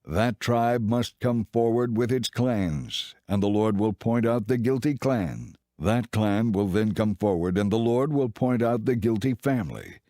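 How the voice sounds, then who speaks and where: A man's voice: lowish voice, measured pace, few pauses, dark tone, no room sound, clean studio sound. A man voicing God in a dramatized scripture audiobook, stern, solemn and commanding as he pronounces judgment.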